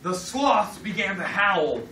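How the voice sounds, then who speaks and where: A woman's voice: mid-range voice, expressive, slow. A woman telling a story live to children in a dramatic manner, feeding short lines to be repeated. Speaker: storyteller leading a children's puppet show. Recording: roomy, distant mic, noisy room.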